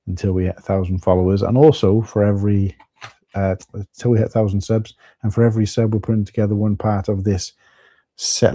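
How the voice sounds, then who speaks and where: A middle-aged man, husky from tiredness, chatting casually and rambling through a live stream with many fillers. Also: lowish voice, warm tone, dry recording.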